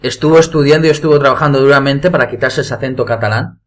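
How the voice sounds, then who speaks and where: A man's voice: medium pitch, quick pace. A man with a Spanish accent from Spain, telling an anecdote in a casual group podcast chat. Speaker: podcast co-host.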